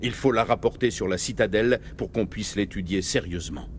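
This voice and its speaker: A man's voice: on the low side, brisk, a little hiss or hum, natural voice. A man playing a commanding military captain in a French video game, formal and resolute. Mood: serious, explaining. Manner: firm, formal, authoritative.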